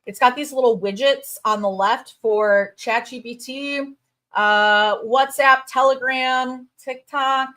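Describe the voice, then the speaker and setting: A woman's voice: high voice, pushed and bright. A woman in her thirties with a Chicago accent, a crypto recruiter chatting casually on a livestream and reading viewer comments. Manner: bubbly, chatty, quick asides and fillers.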